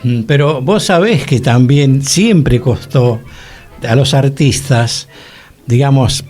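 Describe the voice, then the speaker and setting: A man's voice: medium pitch, slow, darkish tone, soft background music. A man with an Argentine accent chatting casually on a radio panel, musing and often checking for agreement. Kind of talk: casual conversational radio discussion.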